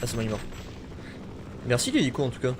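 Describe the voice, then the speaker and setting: A man casually chatting and joking while streaming a game, his talk breaking into sudden shouts. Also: medium pitch, wildly swooping pitch, fast. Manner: chatty, jokey, shouts in bursts. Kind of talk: casual gaming chat. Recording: noisy game audio with gunfire.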